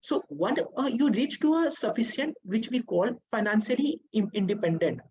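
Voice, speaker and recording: A woman's voice: a little high, lively intonation, moderate pace, a little mellow, slightly rough, muffled recording. A young woman, giving earnest, hesitant advice in a casual interview. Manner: earnest, hesitant, many fillers and restarts. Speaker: interview guest.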